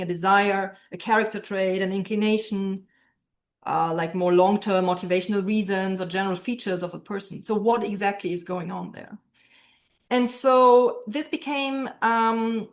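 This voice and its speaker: A woman speaking as an interviewed philosophy professor, explaining ideas in a thoughtful way and posing questions to herself. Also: medium pitch.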